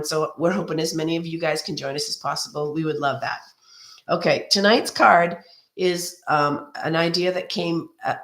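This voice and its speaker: A woman with a hoarse, cold-roughened voice hosts a live card-making lesson. She talks in a loose, friendly ramble full of ums and restarts. Her voice carries a noticeable room echo. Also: low-pitched, fairly bright tone. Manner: rambling and friendly, many ums and restarts, greets viewers by name. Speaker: card-making demonstrator hosting a live stream. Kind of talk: chatty live craft tutorial. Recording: roomy echo.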